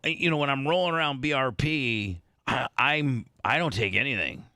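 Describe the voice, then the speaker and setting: A man hosting a morning radio show, talking casually in halting, rambling bursts with many restarts, sounding a bit congested. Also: medium pitch, pushed and bright, no echo, studio quality. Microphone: studio microphone.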